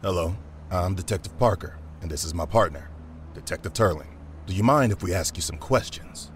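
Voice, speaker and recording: Casting: male actor for a polite, measured police detective, deep voice, audio drama with faint ambient noise. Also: natural voice. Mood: polite, official. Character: courteous, formal police detective, adult man. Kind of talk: naturalistic audio drama acting.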